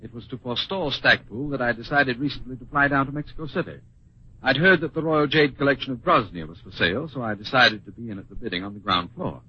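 Man playing suave American adventurer, husky voice. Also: medium pitch, quick pace, natural voice. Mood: relaxed, storytelling. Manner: urbane, wry, unruffled. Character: suave, unflappable American male importer and adventurer. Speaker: narrator and lead character. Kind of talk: old-time radio drama acting and narration. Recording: muffled old radio recording.

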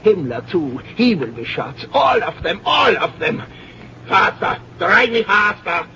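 An actor playing a paranoid, tyrannical German dictator in an old-time radio drama, with a German accent and a barking, clipped, imperious manner. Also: male voice, sits high, big pitch swings, unhurried, exaggerated voice. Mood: furious, threatening. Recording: dull, noisy old radio recording.

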